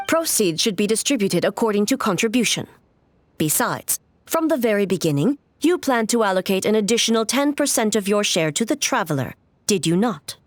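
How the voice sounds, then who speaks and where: A woman voicing a calm, authoritative and stern ruler in a video game, speaking in a formal, measured, composed way. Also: mid-range voice, no echo, close to an everyday voice. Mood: firm, composed.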